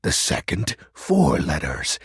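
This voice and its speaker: Husky, theatrical lord of shadows. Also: male voice, very deep voice, huge pitch swings, slow, dry recording, clean studio sound, heavily acted. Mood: mildly scolding. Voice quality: husky, gravelly. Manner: grandiose, archaic, overdramatic phrasing with swooping emphasis. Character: self-styled lord of shadows, theatrical, wistful, nerdy would-be dark overlord. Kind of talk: video game character acting.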